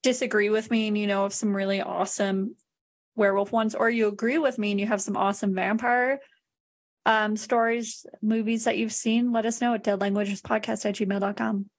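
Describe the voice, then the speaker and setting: A woman's voice: medium pitch, steady tone, normal speed. A woman co-hosting a podcast with casual, joking banter. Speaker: podcast co-host.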